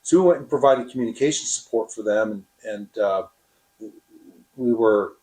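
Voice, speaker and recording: A man explaining his experience as a radio operator in an interview, with halting, deliberate phrasing. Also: fairly low pitch, a little mellow, low-quality recording.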